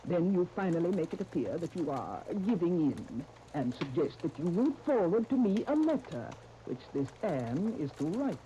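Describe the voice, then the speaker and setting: An actress playing a vicious, scheming woman who gives commanding, deliberate orders in a 1930s radio soap opera. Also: on the low side, very animated, dark tone. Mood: scheming, insistent. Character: vicious scheming woman plotting to frame a family. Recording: muffled, noisy 1930s radio recording.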